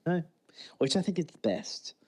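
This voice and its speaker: A man's voice: a little high, sing-song, moderate pace, a little mellow, husky. A man co-hosting a podcast, bantering casually and off the cuff about a TV episode. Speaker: podcast co-host.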